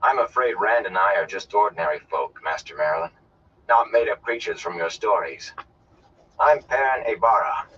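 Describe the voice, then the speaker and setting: A man's voice: lowish voice, expressive, moderate pace, sharp tone, husky, distant mic, highs cut off, full character voice. A man voicing a polite, earnest young village lad in an audiobook, a little hesitant in manner. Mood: amused, modest. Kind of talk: audiobook character voice.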